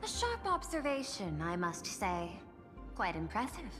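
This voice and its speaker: Poised robot exec lady for a game, calm calculating and formal, big reverb, clear music behind. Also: medium pitch. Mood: reflective, measured. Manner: measured, formal, articulate. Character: composed, calculating female android executive and art-seeking administrator. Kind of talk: video game voice acting.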